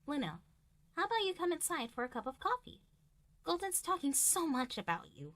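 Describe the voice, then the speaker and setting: A woman voicing a gracious, friendly pony princess in an animated fan drama, polite and welcoming. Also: high-pitched, a little fast.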